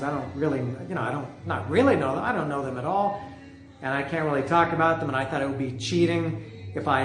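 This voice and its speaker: A middle-aged man hosting a music podcast from home, talking loudly in a casual, rambling way with many restarts. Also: a little high. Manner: chatty, rambling, with frequent restarts; loud voice. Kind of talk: casual monologue. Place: home, street music outside. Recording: echoey room with music outside. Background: music from outside.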